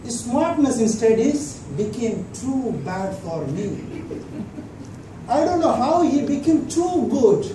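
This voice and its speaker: A man tells a humorous prepared speech at an unhurried pace, pausing for laughs. His voice carries from far off in a roomy hall, with clear background noise underneath. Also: very high-pitched, very animated. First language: Tamil. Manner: dry storytelling, pauses for laughs. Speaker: club speaker. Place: meeting hall.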